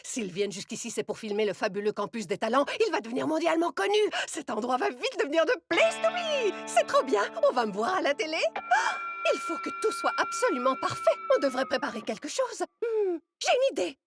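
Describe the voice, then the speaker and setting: High, theatrical bossy cartoon girl. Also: big pitch swings, brisk. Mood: excited, bossy. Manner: theatrical, commanding, exclamatory. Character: bossy, excitable young female show organiser, perfectionist. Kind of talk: French cartoon dubbing. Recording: music underneath.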